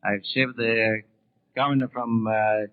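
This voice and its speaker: Man, average pitch, thin vintage radio sound, barber interviewee, halting, unscripted. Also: steady tone, clean tone. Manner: halting, searching for words. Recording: tinny narrowband 1930s radio broadcast.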